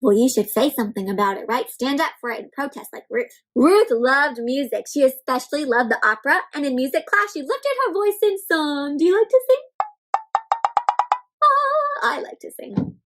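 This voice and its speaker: High voiced lady reading a storybook to little kids, very expressive, keeps checking in with them and explaining simply. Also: normal speed. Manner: expressive, with exaggerated emphasis and frequent questions to young listeners. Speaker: children's read-aloud host. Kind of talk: interactive picture-book read-aloud for children.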